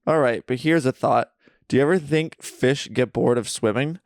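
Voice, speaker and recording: A man's voice: medium pitch, moderate pace, no echo, clean studio sound. A young man co-hosting a podcast, reading a comedy script in a playful, mock-philosophical way and giggling often. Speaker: podcast co-host. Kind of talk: comedic read-through of a script. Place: friend's basement.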